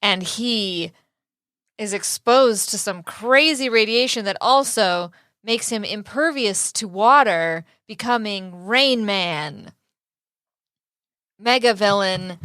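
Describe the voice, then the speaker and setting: A woman's voice: medium pitch, takes it slowly, a bit bright, no room sound. A woman co-hosting a podcast, bantering casually and comedically about a TV show with playful, dry sarcasm. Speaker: podcast co-host.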